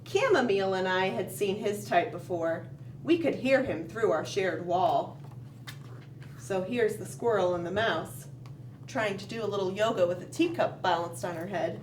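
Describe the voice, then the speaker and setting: A woman hosting a children's storytime, reading a picture book aloud expressively, with dramatic pauses and questioning asides to young listeners. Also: medium pitch, expressive. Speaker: children's library storytime host. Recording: roomy, distant mic, faint background noise.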